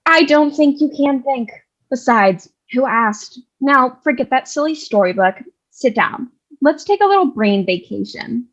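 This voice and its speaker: A woman's voice: high-pitched, expressive, measured pace, dull audio, heavily acted. A woman playing a brash, sarcastic, scolding nun narrator in a comic stage reading. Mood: scornful. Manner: scolding, sassy. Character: brash, sarcastic nun who takes over storytelling.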